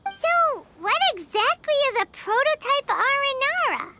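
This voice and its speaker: A woman's voice: very high-pitched, big pitch swings, a little slow, sharp tone, a little hiss or hum, full character voice. A woman voicing a small, chirpy, childlike fairy companion with an eager manner in a video game. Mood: puzzled. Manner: refers to herself in the third person. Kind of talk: animated video game dialogue.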